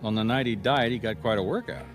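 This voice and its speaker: A man playing a wry, persistent private detective in a radio mystery, talking in measured, dry wisecracks. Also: mid-range voice, faint music behind, close to an everyday voice. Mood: matter-of-fact, wry.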